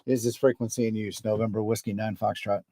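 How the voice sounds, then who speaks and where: An American man hosting a casual, unscripted livestream as an amateur radio operator, rambling in a relaxed way. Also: on the low side, fast talker, heavy background noise. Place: outdoors at a state forest park.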